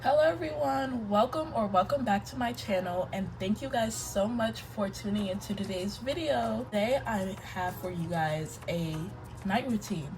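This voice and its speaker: A woman's voice: mid-range voice, moderate pace, hardly any pauses, recorded from a distance, over music, rough recording. A young woman in college chatting to her viewers in a casual vlog, her voice stuffy from congestion. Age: young adult. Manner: chatty, addresses viewers directly. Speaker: student vlogger.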